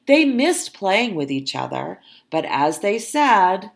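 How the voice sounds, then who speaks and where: A woman who works as a teacher, reading a children's story aloud expressively and adding simple, deliberate explanations. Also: mid-range voice, sing-song, gravelly.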